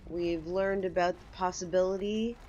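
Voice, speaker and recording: A woman's voice: lowish voice, steady tone, moderate pace, a little mellow, highs cut off, slight background noise. A woman giving a casual, conversational walkthrough of a video game as its host, explaining things as she goes. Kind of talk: casual game tutorial commentary. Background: game music.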